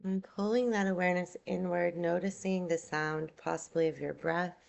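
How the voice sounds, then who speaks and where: A woman yoga nidra instructor leading a guided meditation in a soothing, unhurried, gentle manner, leaving long pauses. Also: fairly low pitch, steady tone, creaky.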